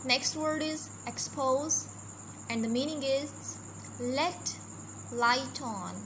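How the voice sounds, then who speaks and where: A woman's voice: high voice, slow, noisy background. Pakistani woman lecturer explaining with fillers. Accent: Pakistani English. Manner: steady explanatory pace with frequent "uh" fillers. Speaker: college English lecturer. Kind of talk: recorded revision lecture over slides. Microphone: voice-over for a slide video.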